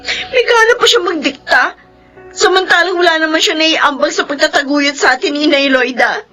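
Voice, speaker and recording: An earnest, emotional young woman in a radio drama. Her voice is a little high and often close to tears. Soft music plays faintly underneath. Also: normal speed. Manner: emotional, tearful. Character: young woman, resentful daughter, tearful. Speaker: midwife character.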